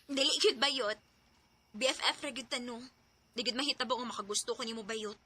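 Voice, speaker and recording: A woman's voice: on the high side, a little fast, pushed and bright, breathy edge. A woman acting a blunt, teasing teenage girl in a radio drama, speaking colloquially with a Cebuano accent. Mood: blunt, dismissive. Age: young adult.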